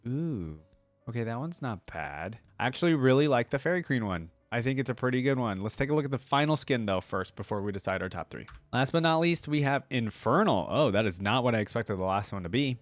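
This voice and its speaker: A man's voice: mid-range voice, animated, fairly quick, no echo, soft background music. Casual man with a dry, close sound. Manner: conversational, thinking out loud. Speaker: video creator reacting to game lore and skins. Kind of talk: casual reaction commentary with some reading aloud.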